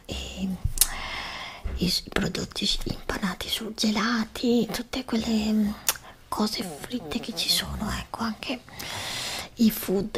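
A woman doing an ASMR medical roleplay as a doctor, speaking gently and hesitantly into a close microphone. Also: mid-range voice. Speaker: ASMR performer playing a doctor. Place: small indoor setup with dark backdrop. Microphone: desktop condenser microphone, close up.

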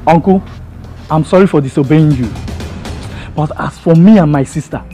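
A young actor with a Nigerian accent playing an excitable nephew arguing with his uncle. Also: a little high, normal speed, faint music behind, natural voice. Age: young adult. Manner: excitable, pleading. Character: hotheaded young man, protective brother.

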